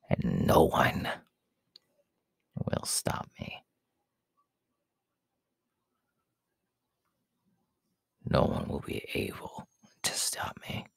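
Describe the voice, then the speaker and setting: A man voicing a menacing, haughty demon king in an ASMR audio roleplay, slow and imperious, in a gravelly, breathy half-whisper. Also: rumbling low, fairly bright tone, dry recording, full character voice. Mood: cold threatening. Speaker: voice actor.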